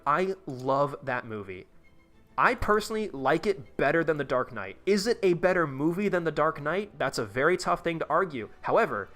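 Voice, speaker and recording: A man's voice: on the high side, a bit bright. A man live-streaming a tycoon game, chatting casually with his viewers in a rambling, profane way while he plays. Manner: rambling, profane, talks to chat while playing.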